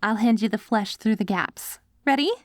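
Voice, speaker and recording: A woman's voice: fairly high pitch, normal speed, dry recording, studio quality, close to an everyday voice. A woman playing a devoted, hopeful wife tenderly coaxing her zombie husband in an ASMR audio roleplay. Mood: hopeful, excited. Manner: tender, coaxing, speaks directly to a loved one.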